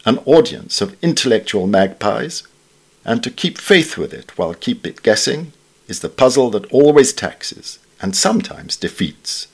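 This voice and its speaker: A man giving a measured, formal scripted reading of witty literary essays. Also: on the low side. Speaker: reader of newspaper essays.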